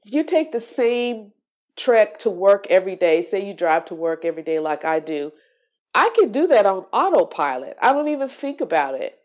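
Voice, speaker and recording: A woman hosting a solo podcast, sounding congested and nasal from allergies, talking in a slow, reflective way. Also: medium pitch, very animated, dull audio. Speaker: podcast host.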